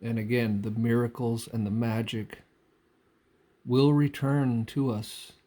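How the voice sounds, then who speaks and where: A man speaks very slowly in a mellow, dark voice. He delivers an off-the-cuff spiritual talk, pausing often and circling back over his phrases with deliberate care. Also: mid-range voice, flat delivery. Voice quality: frequent throat clearing. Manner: halting, deliberate phrasing with pauses and repetition. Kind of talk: unscripted spiritual monologue.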